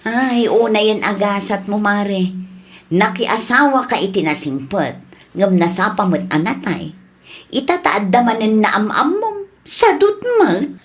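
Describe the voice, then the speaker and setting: A woman's voice: mid-range voice, expressive, a little slow, lightly acted. A woman playing a nosy, opinionated neighbour friend in an Ilocano radio drama, with an Ilocano accent. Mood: sympathetic, advising.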